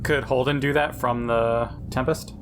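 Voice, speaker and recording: A man's voice: medium pitch, steady tone, a little slow, a bit bright, slight background noise. A man talking through options casually as a player in a tabletop game.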